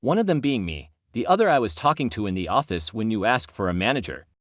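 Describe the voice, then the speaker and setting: A man voicing a composed, unbothered female store manager in a story, deadpan and matter-of-fact. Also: fairly low pitch, quick pace, dry recording, clean studio sound. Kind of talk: acted story dialogue.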